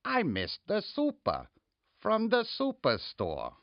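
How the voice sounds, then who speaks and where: An actor voicing a wacky store mascot in a cartoon radio commercial, with exaggerated, drawn-out delivery. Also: medium pitch, huge pitch swings, dry recording, dull audio, full character voice. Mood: wacky, proud. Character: Mister Super, wacky hat-loving superstore mascot character.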